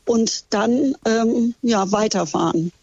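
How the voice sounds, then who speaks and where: A woman from Germany calls in to a radio show as a tour guide aboard a ship. She talks in a friendly, easygoing way, often pausing with fillers. Her voice comes through a dull, muffled phone line with a dry sound. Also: fairly high pitch, a little mellow, no room sound. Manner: friendly, a little halting with fillers. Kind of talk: casual phone-in chat. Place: on a ship at sea.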